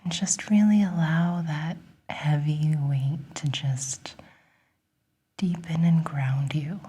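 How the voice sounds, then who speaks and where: A woman whispering a guided relaxation in a gentle, soothing, breathy ASMR style, encouraging the listener. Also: sits low, fairly even intonation, slow pace.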